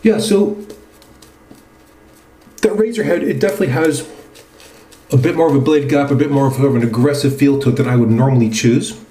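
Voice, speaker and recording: A man's voice: mid-range voice, normal speed, pauses often, mellow. A man talks in an echoey indoor room with a mid-range voice. He presents in a casual, musing way, like an informal hands-on review for viewers, taking his time between thoughts. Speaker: presenter of a wet shaving video. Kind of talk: casual product review chat while shaving. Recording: roomy, echoing indoor sound.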